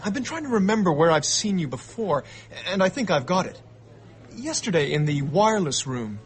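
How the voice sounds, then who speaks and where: An actor playing a brash young amateur sleuth in a radio drama, eager and blurting, stammering when challenged. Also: male voice, sits high, very animated, normal speed. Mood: awkward, probing.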